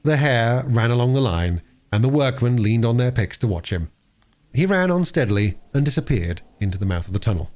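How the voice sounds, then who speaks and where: A man narrating a story as an audiobook reader, with expressive delivery. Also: mid-range voice, a little fast.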